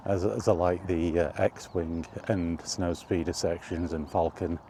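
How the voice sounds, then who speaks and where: Slow, deep-voiced man with dark tone. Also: fairly even intonation, a little hiss or hum. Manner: halting, rambling, thinking aloud in short phrases. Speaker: video creator and host. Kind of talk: casual reminiscing monologue about retro games. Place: outdoors while walking.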